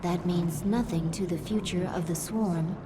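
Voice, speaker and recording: A woman's voice: fairly low pitch, fairly even intonation, unhurried, natural voice. An actress playing a devoted alien broodmother in a video game, formal, solemn and battle-ready. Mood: solemn devotion. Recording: distant, noisy game ambience.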